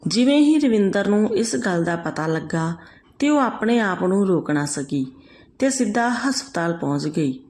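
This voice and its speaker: A woman's voice: mid-range voice, a little mellow. A woman reads a scripted story as a storyteller.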